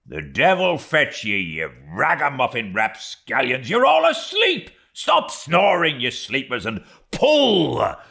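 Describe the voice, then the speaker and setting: A man's voice: mid-range voice, huge pitch swings, slow pace, vocal fry, hardly any pauses, bright, forceful tone, voice pushed up, full character voice. A man voicing a jolly, mock-furious whaling boat officer for an audiobook, swinging between roars and coaxing as he exhorts his crew. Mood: mock fury. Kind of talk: audiobook character acting.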